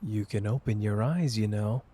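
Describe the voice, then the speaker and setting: A man's voice: fairly low pitch, moderate pace, dark tone, slight background noise, close to an everyday voice. A man playing a cocky, tender young male sorcerer in an intimate ASMR romance roleplay, with a playful, teasing, casual manner.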